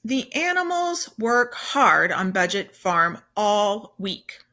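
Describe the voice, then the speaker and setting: Female teacher, bright and animated, unhurried children's read-aloud with emphatic simple explanations. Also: mid-range voice.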